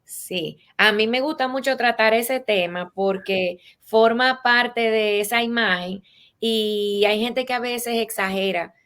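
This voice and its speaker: A woman's voice: on the high side, slow pace, fairly bright tone. A woman with a Latin American Spanish accent, speaking as an expert guest in an interview and explaining rules with practical examples in a didactic way.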